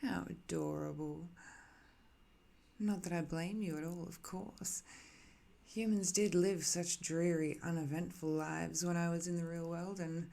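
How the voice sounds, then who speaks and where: An ancient villainess, acted by a woman for an intimate audio roleplay, with a lowish, mellow voice that crackles with fry. She is haughty and condescending, yet softly flirtatious and infatuated, hesitating as she lets tenderness slip through. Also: steady tone, measured pace. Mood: teasing, haughty. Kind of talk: intimate ASMR roleplay acting.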